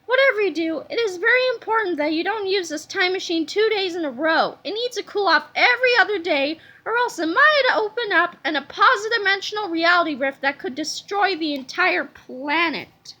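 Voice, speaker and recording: A woman's voice: extremely high pitch, expressive, normal speed, hardly any pauses, bright, forceful tone, exaggerated voice. A woman reading a storybook as a bossy, smug know-it-all boy inventor, pompous and lecturing.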